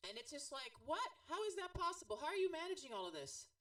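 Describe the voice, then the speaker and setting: A woman from Brazil with a Brazilian Portuguese accent, hosting a podcast interview in casual chat, animated and quick to laugh. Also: high-pitched, far from the mic. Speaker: podcast host.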